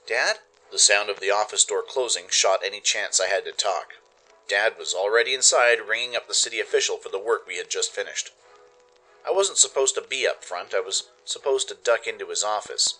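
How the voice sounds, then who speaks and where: Bright, low-voiced man narrating dramatically. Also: low-quality recording. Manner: theatrical, expressive. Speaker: narrator and radio play host.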